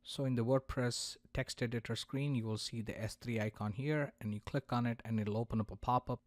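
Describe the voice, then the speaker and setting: A man presenting a software tutorial, explaining a product's features step by step in a demo narration. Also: mid-range voice, fairly even intonation, a little fast, no echo.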